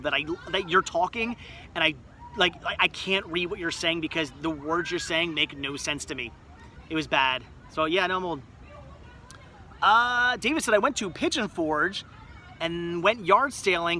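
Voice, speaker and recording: Casting: man, late thirties, high bright voice, rambling self-mocking livestream host, faint outdoor noise. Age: 30s. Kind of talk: casual livestream chat reading comments. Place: outdoors at a resort.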